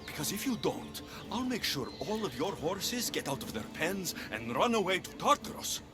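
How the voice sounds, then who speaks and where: A man's voice: high-pitched, big pitch swings, normal speed, over music. A man playing a bold, blunt adventurer in a video game, with an assertive, dry delivery. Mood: pressing, threatening. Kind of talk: video game cutscene acting.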